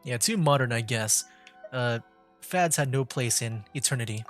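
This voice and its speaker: A man's voice: mid-range voice, normal speed, a bit bright. Casual man streaming over faint game music. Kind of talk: casual live gameplay commentary.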